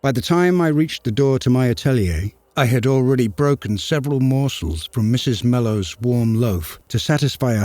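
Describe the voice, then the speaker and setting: A man narrating a story in the first person, measured and formal. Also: medium pitch, warm tone, dry recording.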